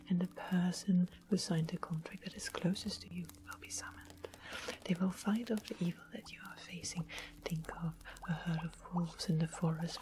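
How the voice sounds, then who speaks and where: A woman acts out a royal witch from a medieval fantasy ASMR roleplay, sweet and sly. She speaks in a hushed, breathy whisper at a low pitch, coaxing and deliberate. Clear ambient noise and music sit behind the voice. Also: lightly acted. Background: soft music and ambience.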